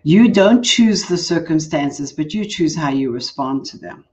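An older woman with a South African accent, speaking as a guest coach in a relaxed interview and laughing often. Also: deep voice, a little slow, muffled recording. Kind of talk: casual interview chat.

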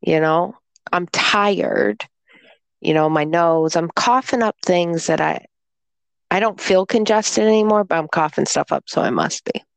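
Slow, creaky-voiced woman sounding congested. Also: fairly low pitch, no echo. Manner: rambling storyteller, slow and drawn-out. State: congested, recovering from illness. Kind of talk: casual chat.

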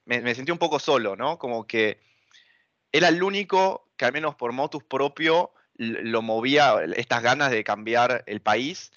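A man's voice: mid-range voice, moderate pace, a bit bright, no room sound. A young man with a Buenos Aires Argentine accent, giving earnest interview answers in a hesitant, thinking-aloud way. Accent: Buenos Aires Argentine Spanish. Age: young adult. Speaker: interviewee, student activist. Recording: dull lo-fi audio.

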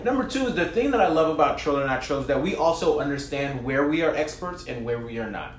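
A man talks in an animated, conversational style and often checks in with "you know what I'm saying." His voice sits a little high for a man. The room is echoey, with a faint hiss underneath. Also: fast. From New York. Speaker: guest, educator. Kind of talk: conversational interview. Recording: roomy echo, faint noise.

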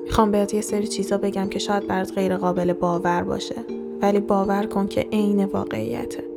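Audio story role: secretive, earnest young confidant, read by a woman, creaky, restrained delivery over soft music. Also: mid-range voice, flat delivery, natural voice. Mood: grave, confiding. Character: secretive, serious young friend confiding strange revelations.

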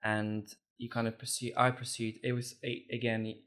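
A man in his thirties, born and raised in the Republic, telling his story earnestly with halting restarts as a podcast guest. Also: medium pitch, level tone, a bit bright. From Czech Republic. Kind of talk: conversational storytelling.

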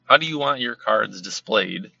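Rambling man with a bright, slightly hoarse voice. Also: on the low side, animated, moderate pace, no room sound. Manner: rambling, repeats words, many fillers. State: losing his voice. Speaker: card collecting channel host. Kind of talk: casual monologue. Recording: slightly dull lo-fi sound.

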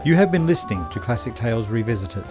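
A man's voice: mid-range voice, animated, fast talker. A man presenting a programme with scripted introductions and credits. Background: music with plucked guitar.

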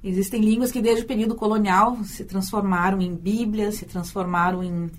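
A woman's voice: mid-range voice, fairly even intonation, brisk. A Brazilian woman, a university teacher and storyteller, answering in a radio interview, thoughtful and often repeating words as she thinks.

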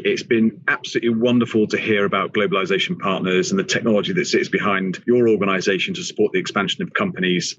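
A man's voice: fairly low pitch, a bit bright, dry recording. A male interviewer politely and appreciatively thanking his guest and closing the conversation. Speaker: interviewer, chamber host. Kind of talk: interview wrap-up. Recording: dry, dull lo-fi sound.

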